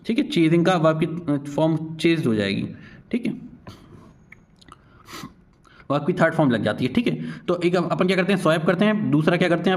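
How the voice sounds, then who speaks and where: Guy w doing a quick informal lesson, slightly high voice, mixes in english terms. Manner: repeats check-in tags after points. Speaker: teacher.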